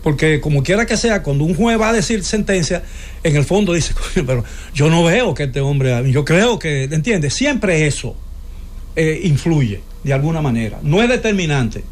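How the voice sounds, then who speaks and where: A man's voice: a little high, expressive, normal speed. A man debating politics on a talk show, hesitating with many filler sounds and restarts, often asking for agreement. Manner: opinionated, asks listener for agreement.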